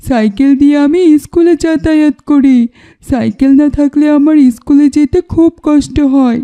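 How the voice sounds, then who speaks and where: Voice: sits high, moderate pace, mellow. A voice actor playing an earnest young village schoolboy in a cartoon, explaining things plainly. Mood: apologetic. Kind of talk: cartoon voice acting.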